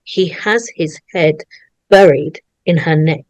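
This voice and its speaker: A woman's voice: sits low, slow pace, darkish tone, no echo. A woman narrating at a script table read, reading out screenplay stage directions.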